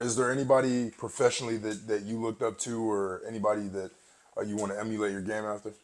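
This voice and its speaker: A man with a fairly low voice hosts a sports podcast. He asks his questions in an easy, conversational way and keeps his guest going with soft "mm" sounds between the answers. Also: fast. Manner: relaxed, affirming with quiet mm-hmms. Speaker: podcast host. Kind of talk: conversational interview.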